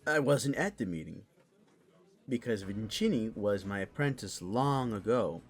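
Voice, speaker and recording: Male actor as composed fantasy council elder and teacher, somewhat low voice, formal delivery, faint music behind. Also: sing-song, measured pace, husky, exaggerated voice. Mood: composed, explanatory. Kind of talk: fantasy audio drama acting.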